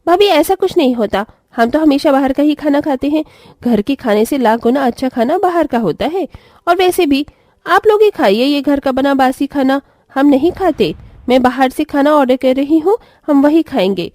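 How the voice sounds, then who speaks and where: A woman's voice: sits high, lively intonation, moderate pace, a little mellow, no room sound, studio quality, voice pushed up. A woman voicing a haughty, spoiled rich city daughter-in-law who complains scornfully in a family story. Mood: defiant.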